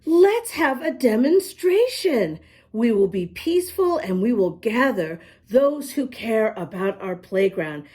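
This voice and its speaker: Little boy read by a woman, storybook character, animated, slightly husky, earnest, determined, confident. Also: a little high, takes it slowly, full character voice.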